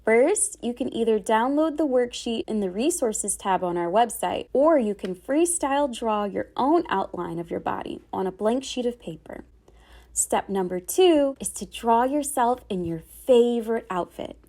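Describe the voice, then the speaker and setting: Animated high-voiced woman reading to children. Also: normal speed. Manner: animated, dramatic phrasing, clear enunciation for children. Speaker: children's read-aloud storyteller. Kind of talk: expressive picture book read-aloud addressed to children.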